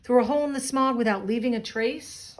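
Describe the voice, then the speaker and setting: A woman reading a children's story aloud expressively, pausing for simple asides to young listeners. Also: fairly high pitch, slight background noise. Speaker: storybook reader.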